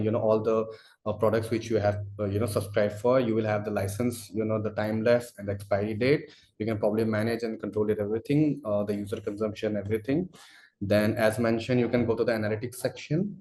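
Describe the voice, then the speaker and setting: A man presenting a product demo in a webinar, speaking haltingly with frequent "you know" fillers. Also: on the low side, a little mellow. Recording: roomy, echoing sound.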